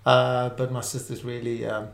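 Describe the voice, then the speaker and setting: A man's voice: mid-range voice, completely flat delivery, normal speed, gravelly, echoey room. A middle-aged South African man, a native Afrikaans speaker, talking as an interview guest in a hesitant way with many fillers and restarts. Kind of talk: casual interview chat.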